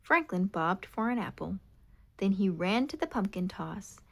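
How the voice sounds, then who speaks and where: A woman reading a children's picture book aloud in an expressive, animated way. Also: medium pitch, measured pace, creaky. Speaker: storybook reader. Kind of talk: children's read-aloud narration.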